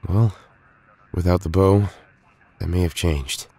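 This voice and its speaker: A man acting in an audio drama as an earnest, weary young resistance fighter, speaking in a grave, measured way. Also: low-pitched, steady tone, dark tone, slight background noise. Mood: earnest, reassuring.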